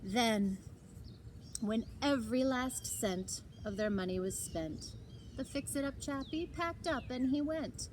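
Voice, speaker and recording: A woman storyteller reads aloud for children in an expressive, emphatic way. Her voice sits high and moves around in lively swings. It is a home recording with clear noise. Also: slow. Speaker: library storyteller. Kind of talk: animated children's read-aloud.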